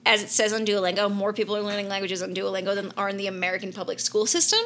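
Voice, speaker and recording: A young adult woman co-hosting a casual podcast, with a bright, forward voice. She gushes enthusiastically, swearing freely and filling her sentences with "like" and "um". Faint background noise runs under the recording. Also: medium pitch, steady tone, very fast. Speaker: podcast co-host. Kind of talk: casual chat.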